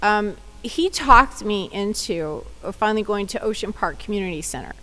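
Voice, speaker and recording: A woman's voice: medium pitch, lively intonation, normal speed, pushed and bright, poor audio. A woman community advocate giving plain personal testimony at a public hearing, telling her story in the first person. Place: government hearing room.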